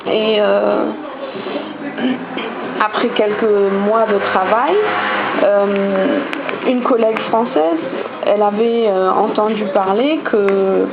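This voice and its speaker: A woman's voice: mid-range voice, slow pace, vocal fry, pauses often, phone-quality sound, background noise. Hesitant woman. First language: German. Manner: hesitant, many fillers and restarts. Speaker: vlogger. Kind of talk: casual storytelling to camera.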